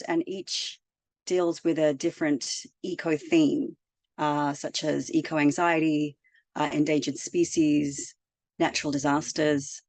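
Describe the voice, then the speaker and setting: A woman's voice: deep voice, darkish tone, dry recording. A woman from Australia, a children's author presenting her book in an upbeat, articulate way. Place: Toronto.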